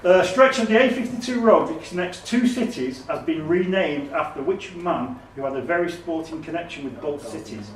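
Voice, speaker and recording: A man's voice: fairly high pitch, expressive, moderate pace, few pauses. A man acting as quizmaster, reading out questions and ruling on answers at a live quiz. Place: echoey indoor room at a live quiz event. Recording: distant mic in echoey room, background noise.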